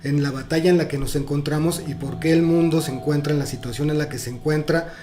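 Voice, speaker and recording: A man's voice: a little high, fast talker, warm tone. A man, co-hosting a casual panel talk and explaining ideas earnestly. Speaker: podcast co-host.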